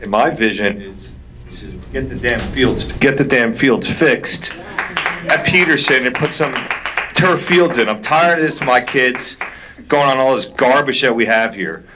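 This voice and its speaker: A man's voice: mid-range voice, measured pace, background noise. A man speaking at public comment in a council meeting, blunt and exasperated, repeating his point. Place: township council meeting room.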